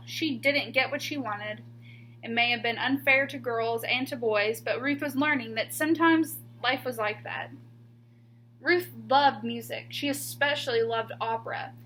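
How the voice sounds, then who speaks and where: High-pitched woman reading a picture book aloud. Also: a little slow, a bit bright, slight background noise. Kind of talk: expressive children's picture book read-aloud.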